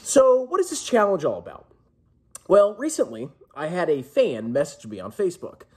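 A man's voice: sits high, sing-song, moderate pace, breathy edge. A man in his thirties talking casually to camera like a jokey, brash showman, making an emphatic announcement. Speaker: personal finance vlogger, host. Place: small indoor room at home.

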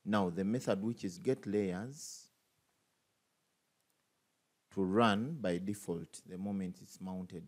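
A man's voice: sits low, slow pace, pauses often, darkish tone. A man teaching a coding tutorial over a screen recording, thinking aloud with many fillers and restarts. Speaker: programming tutor.

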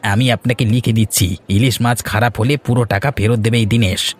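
A man's voice: fairly low pitch, steady tone, brisk, lightly acted. Eager Bengali cartoon fishmonger, acted by a man. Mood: reassuring. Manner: deferential yet insistent sales patter. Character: eager, insistent village fish seller. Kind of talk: cartoon voice acting.

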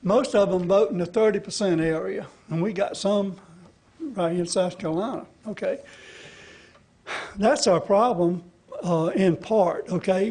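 High-pitched elderly man testifying. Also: dark tone, husky. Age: older, 70s or above. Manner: folksy, rambling, checks listeners with frequent "okay?". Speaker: citizen activist testifying to a legislative committee. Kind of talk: extemporaneous public testimony. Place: large indoor hearing room with seated audience. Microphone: gooseneck podium microphone.

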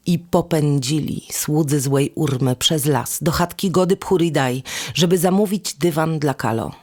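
A woman narrating a fairy tale expressively, with lyrical, rhythmic phrasing. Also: on the low side. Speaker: storyteller. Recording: music behind the voice. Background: instrumental music.

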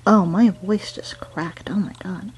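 A woman's voice: medium pitch, fairly even intonation, measured pace, darkish tone, husky. A woman chatting casually in a playful, gushing, goofy way.

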